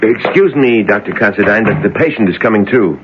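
An actor playing an eager young hospital intern with a deferential manner in a 1970s radio drama. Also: male voice, lowish voice, animated, a little fast, background noise, close to an everyday voice. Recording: dull old radio broadcast.

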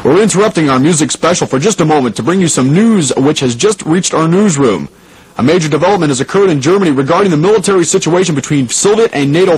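A man playing a 1980s radio station host, speaking in a measured, formal announcer style. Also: fairly high pitch, a little mellow, breathy edge. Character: 1980s male radio DJ hosting oldies, turning newsreader. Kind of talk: radio DJ and news bulletin announcing. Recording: noisy radio broadcast recording.

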